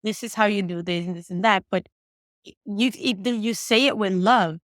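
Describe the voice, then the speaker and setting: A woman's voice: mid-range voice, moderate pace, a bit bright, no room sound, clean studio sound. A woman interviewing a guest, reflecting her guest's words back to her in an affirming, thoughtful way. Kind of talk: conversational interview.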